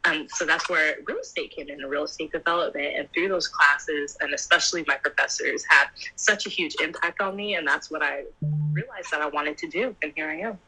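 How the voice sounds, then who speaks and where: A woman's voice: low voice, fairly quick, bright, forceful tone, slightly rough, faint music behind, low-quality recording. A young woman in her twenties, an upbeat pageant titleholder chatting and laughing easily as an interview guest. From United States. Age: 20s. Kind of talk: casual interview.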